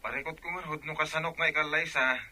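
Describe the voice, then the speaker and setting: An actor with an Ilocano Filipino accent playing a worried, pushy young student short of money in a radio drama. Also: male voice, fairly high pitch, fairly even intonation, quick pace, bright, forceful tone, dry recording. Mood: troubled.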